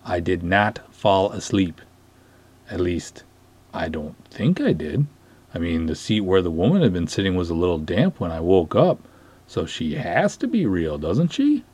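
A man acts out a pompous, earnest canoe cop in a storybook reading. His voice is low and husky, and he talks in ornate, formal sentences with a flustered edge. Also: very animated, unhurried, darkish tone, exaggerated voice. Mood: indignant, puzzled. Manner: formal, florid, flustered phrasing.

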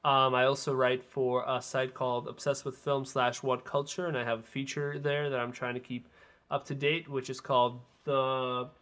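Bright-voiced man talking film. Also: mid-range voice, flat delivery, moderate pace. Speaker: film podcast co-host and critic. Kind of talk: casual film discussion.

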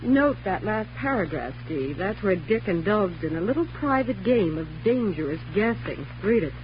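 An actress playing a brisk, wry woman crime reporter in a 1940s radio drama. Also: mid-range voice, expressive, slight background noise, close to an everyday voice. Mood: businesslike. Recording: muffled, humming old radio recording.

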